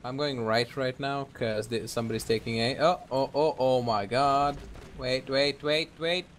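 A man's voice: mid-range voice, steady tone, a little slow, slight background noise. A man in his twenties giving casual gameplay banter, with profane and urgent callouts to his teammate. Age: 20s. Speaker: gaming video creator.

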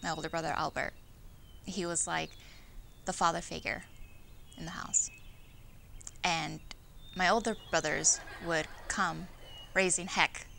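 Plainspoken daughter, creaky bright voice. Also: female voice, on the low side, fairly even intonation, measured pace, long pauses, slight background noise, heavily acted. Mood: matter-of-fact reminiscence. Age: young adult. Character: plainspoken middle daughter of big working family, reminiscing. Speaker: actor in an oral history dramatization. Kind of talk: dramatized conversational monologue.

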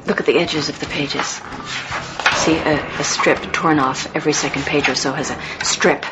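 A woman's voice: low-pitched, fairly even intonation, normal speed, heavy background noise, close to an everyday voice. Woman as a strained, anxious mother. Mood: fearful. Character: anxious, protective mother under great strain. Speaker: actor. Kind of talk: radio drama acting.

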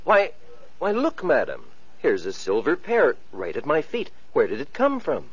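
Charming fairy tale prince, bit husky, voice goes up and down a lot, muffled old radio. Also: male voice, fairly high pitch, huge pitch swings, unhurried, heavily acted. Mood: courtly. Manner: courtly and commanding. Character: gallant commanding young prince. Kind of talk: 1940s radio acting.

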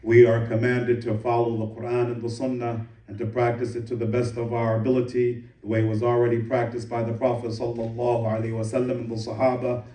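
A man preaches a Friday sermon at a quick clip, his low, mellow voice staying fairly flat. He rattles off Arabic verses and then explains them in English. The sound is roomy and heard from some distance in a mosque hall. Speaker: imam giving Friday sermon. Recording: echoey room, voice from a distance.

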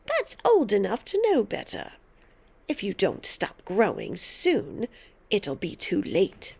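A woman's voice: medium pitch, huge pitch swings, slow, creaky, a little mellow, breathy edge, full character voice. A woman voicing a tiny, bossy, mean old grandmother who scolds and snaps with gloating emphasis in a storybook reading. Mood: snappish, scolding.

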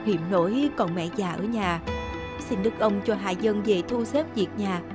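A woman voicing a humble, earnest young male peasant in an animated folktale, respectful and formal. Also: medium pitch, measured pace, over music, lightly acted.

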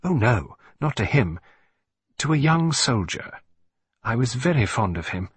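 A man's voice: medium pitch, big pitch swings, a little slow, breathy edge, full character voice. A man voicing a frightened young upper-class lady, hesitant and pleading. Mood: distressed. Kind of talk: audiobook reading.

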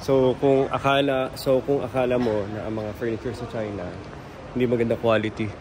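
A chatty Filipino man in his thirties, filming himself on a close handheld camera while he walks through a big indoor mall. His voice sits at an ordinary middle pitch, and he talks in loose, teasing tour-guide banter. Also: level tone, moderate pace, noisy background. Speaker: vlogger. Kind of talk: casual vlog narration. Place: large indoor furniture mall. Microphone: handheld camera held close.

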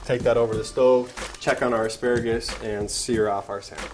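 A man's voice: medium pitch, a little fast, background noise. Young man narrating a home cooking demo. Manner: relaxed step-by-step narration while cooking. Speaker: cooking show host. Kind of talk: casual cooking demonstration. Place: home kitchen, indoors. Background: frying and sizzling from the pan.

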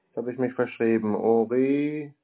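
A man's voice: mid-range voice, normal speed. A man chatting casually on the phone in a laconic, plain-spoken way, over a narrow telephone line. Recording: narrow-band telephone call.

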